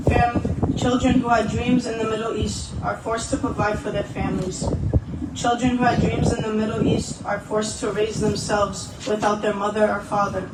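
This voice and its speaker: A man giving a solemn, earnest prepared speech at a candlelit vigil, recorded from a distance. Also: high-pitched. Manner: earnest, solemn, repetitive phrasing. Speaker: vigil speaker. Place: gathering indoors, recorded from afar. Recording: echoey, distant, noisy event audio.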